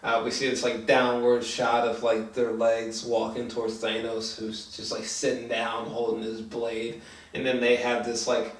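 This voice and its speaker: A man chatting casually about a movie in an excitable, halting, profanity-laced way, repeating himself as he goes. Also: medium pitch. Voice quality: slangy, profane speech. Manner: excitable, halting, repetitive storytelling. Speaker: movie reviewer. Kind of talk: casual unscripted movie chat. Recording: roomy echo, distant mic.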